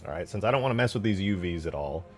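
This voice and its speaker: A man giving casual, slangy commentary as a game modder, muttering to himself as he thinks out loud while working. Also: deep voice, lively intonation, rapid-fire, soft background music.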